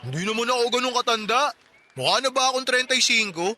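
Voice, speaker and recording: A man's voice: very high-pitched, lively intonation, quick pace, pushed and bright, exaggerated voice. An actor in his twenties playing a friendly, chatty working-class neighbor who jokes and offers help, in a radio drama. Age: 20s. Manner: chatty, joking, helpful. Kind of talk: Tagalog radio drama acting.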